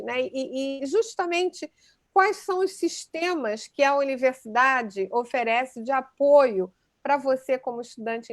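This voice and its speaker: Woman moderator, chatty, tag-question habit, high pitch, close dry sound. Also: no echo. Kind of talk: moderating an online panel.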